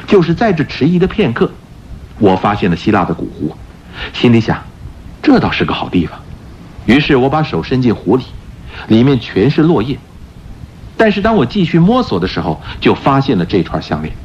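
An actor playing a confident, playful gentleman-thief detective in a radio drama, explaining his deductions theatrically. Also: mid-range voice, huge pitch swings, fairly quick, pauses often, slight background noise. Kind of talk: Mandarin radio drama acting. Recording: dull radio recording with background music.

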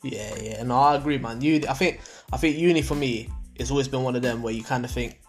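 A man's voice: medium pitch, fast, faint music behind. A young man hosting a podcast in laid-back slangy banter, laughing often. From Portugal. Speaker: podcast host. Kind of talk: casual podcast chat.

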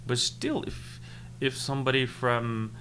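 A man's voice: mid-range voice, little pitch movement, unhurried, fairly bright tone. Thoughtful man, faint hum. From Nepal. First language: Khas. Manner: thoughtful, with many fillers and restarts. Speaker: interviewee. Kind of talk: casual interview.

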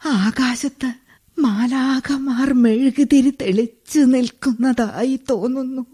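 Slow, husky old Malayali widow. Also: female voice, high voice, mellow, exaggerated voice. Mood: wistful. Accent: Kerala Malayalam. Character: bedridden old widow, nostalgic, firm yet motherly. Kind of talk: radio drama acting.

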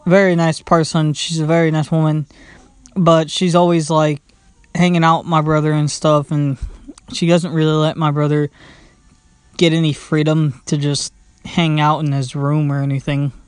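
A man's voice: a little high, level tone, moderate pace, smooth, dry recording. A young man hosting his own casual podcast from home, rambling with lots of fillers, restarts and "blah, blah, blah". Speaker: podcast host. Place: at home, sitting indoors.

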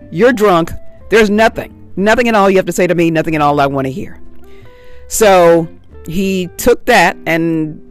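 A woman with a nasal voice talks frankly and unscripted as she hosts her own podcast, telling personal stories in a chatty way. Faint music sits underneath. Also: mid-range voice. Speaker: podcast host.

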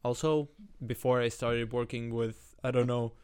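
A man's voice: medium pitch, fairly even intonation, normal speed, darkish tone, smooth. A man from Latvia with a Latvian accent talks casually and hesitantly, hedging and searching for words as he explains his view. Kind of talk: casual discussion.

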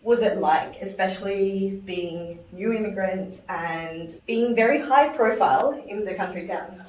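A woman's voice: medium pitch, a little slow, darkish tone. A woman hosting an interview show, asking friendly, curious questions. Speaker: podcast host. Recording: echoey room, mic at a distance.

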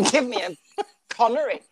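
Woman podcast co-host, fairly high voice, sarcastic banter, dry close sound. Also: measured pace, breathy edge, dry recording. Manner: sarcastic, deadpan teasing. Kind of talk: casual podcast chat.

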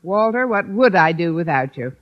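Actress as a wry, lively woman schoolteacher. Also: fairly low pitch, highs cut off, natural voice. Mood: dry, amused. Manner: dry, arch, quick with comebacks. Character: wry, wisecracking woman schoolteacher with romantic hopes. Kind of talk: 1950s radio sitcom acting.

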